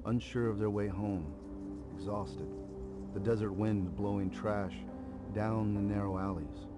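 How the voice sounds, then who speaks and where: A man's voice: fairly low pitch, fairly even intonation, measured pace. A man giving a solemn poetry reading. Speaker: poem reader. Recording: music behind the voice. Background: music.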